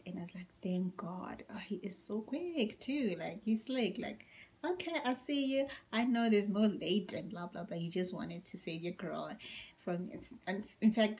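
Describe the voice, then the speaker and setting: Young woman, casual storyteller, lowish deep voice, whispers her asides, a bit tired. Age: young adult. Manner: animated, acts out quotes, whispers asides. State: tired from a workout. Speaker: vlogger.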